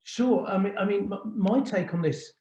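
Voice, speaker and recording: A man hosts an informal panel discussion over a call. His voice is fairly high for a man and mellow, with halting phrasing and repeated words. The sound is muffled and echoey. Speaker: host, magazine editor.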